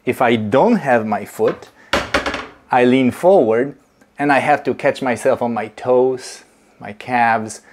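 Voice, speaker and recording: Slow, deliberate man explaining in a kitchen. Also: mid-range voice, a little hiss or hum. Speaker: movement teacher. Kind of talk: instructional demonstration. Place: home kitchen, indoors.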